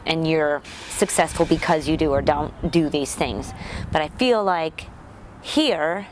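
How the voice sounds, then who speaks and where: Female theatre director, slightly low voice, reflective interview delivery, some ambient noise behind her. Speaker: youth theatre director and teacher. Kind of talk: documentary interview.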